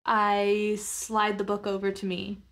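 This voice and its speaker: A woman's voice: medium pitch, completely flat delivery, takes it slowly, a bit bright. Woman player thinking aloud, mid-range voice. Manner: casual, tentative, thinking aloud. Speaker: player in a tabletop roleplaying game. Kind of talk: improvised first-person roleplay narration.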